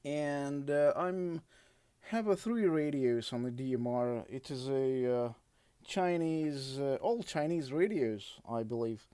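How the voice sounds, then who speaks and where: Slow, mellow man with Russian accent. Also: medium pitch, expressive, clear, resonant tone, no room sound, clean studio sound. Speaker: amateur radio operator. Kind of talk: casual radio contact chat.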